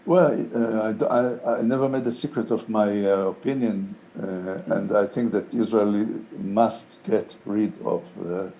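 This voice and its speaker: An older man whose first language is Hebrew, answering interview questions in English in a thoughtful, halting way with many fillers. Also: fairly low pitch, steady tone, warm tone, a little hiss or hum. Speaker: interviewed playwright.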